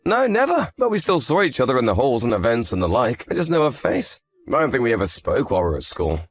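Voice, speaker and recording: Man acting an earnest, quick-talking young husband. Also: mid-range voice, big pitch swings. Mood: chatty, frank. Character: earnest, grateful young husband, anxious yet frank. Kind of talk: scripted dialogue for an online story drama.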